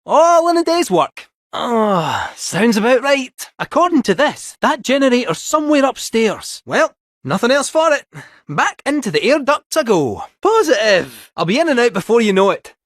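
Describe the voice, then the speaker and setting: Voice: medium pitch, sing-song, normal speed, no echo, studio quality, lightly acted. An actor voicing a jaunty, theatrical robot cat with a Scottish accent in a video game, helpful, folksy and a little fussy. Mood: plucky, cheery. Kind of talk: animated video game character acting.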